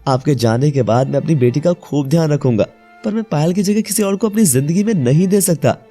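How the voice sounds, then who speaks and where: A man voicing a devoted, emotional young widowed father in a melodramatic animated moral story, speaking earnestly. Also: on the high side, brisk, faint music behind, lightly acted. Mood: pained refusal.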